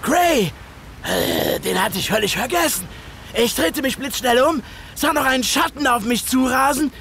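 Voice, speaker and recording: A man playing an agitated, confused would-be killer narrating a radio play with theatrical, halting pauses. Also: extremely high pitch, animated, vocal fry, fairly bright tone, a little hiss or hum, exaggerated voice. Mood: tense, dramatic. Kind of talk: dramatic first-person radio play narration.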